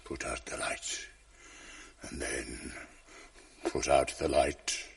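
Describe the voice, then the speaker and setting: An actor playing a tormented, jealous general in a classic verse radio drama, husky and brooding, shifting from hushed whispers to outbursts. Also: male voice, rumbling low, steady tone, slow, full character voice. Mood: brooding, tender.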